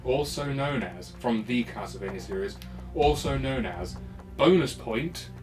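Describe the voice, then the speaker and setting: A man's voice: medium pitch, animated, measured pace, music in the background. A man with a British accent, casually and playfully running a trivia quiz as a podcast co-host.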